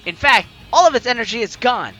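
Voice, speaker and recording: Man, very high-pitched voice, theatrical story narration, let's play host, animated swings, music behind. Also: fairly quick, muffled recording. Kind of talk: reading a visual novel story aloud, dramatic narration. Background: game music.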